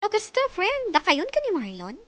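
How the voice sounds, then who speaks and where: A woman acting a girlish, wistful best friend in a radio drama, with a whiny, drawn-out delivery. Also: very high voice, wildly swooping pitch, exaggerated voice. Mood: wistful, playful.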